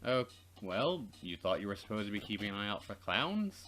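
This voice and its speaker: A man reading a visual novel aloud as a Let's Play narrator, expressive and playfully dramatic. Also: on the low side, fairly quick.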